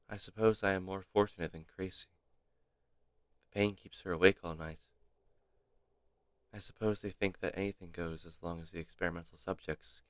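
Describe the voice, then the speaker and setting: Deep-voiced man reading dramatically, dry sound. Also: a bit bright, no room sound. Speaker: streamer reading game text aloud.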